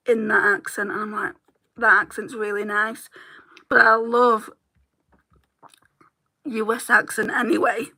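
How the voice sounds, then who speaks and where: A chatty British woman with a bright, forceful voice talks through her makeup on a livestream. She rambles from product to product and greets viewers by name. Her throat is starting to go a little sore. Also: on the high side, vocal fry, rough recording. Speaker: beauty vlogger, livestream host. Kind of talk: casual chat while doing makeup live.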